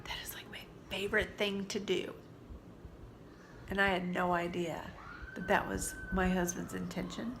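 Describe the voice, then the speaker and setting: A woman in her forties, stuffed up from allergies, talking softly and confidingly in a personal vlog, sometimes dropping to near-whispers. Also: fairly low pitch, level tone, moderate pace, vocal fry, noisy background. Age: 40s. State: congested from allergies. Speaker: vlogger. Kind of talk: reflective personal vlog talk. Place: sitting indoors at home.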